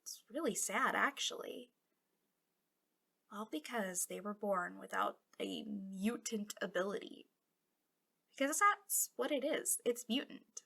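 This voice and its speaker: A woman's voice: mid-range voice, expressive, takes it slowly, vocal fry, sharp tone, full character voice. A woman playing a bitter, impassioned female villain guarding a captive in an intimate ASMR roleplay, drifting between dramatic speech and whispers. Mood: sad, earnest.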